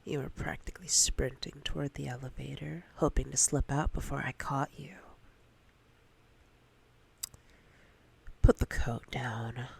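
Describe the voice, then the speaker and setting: Woman acting breathy, domineering female boss. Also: rumbling low, steady tone, heavily acted. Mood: stern, intimidating. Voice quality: breathy, often whispered. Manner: slow, deliberate, commanding, menacingly teasing. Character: domineering, predatory female boss toying with a nervous employee. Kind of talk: intimate roleplay ASMR.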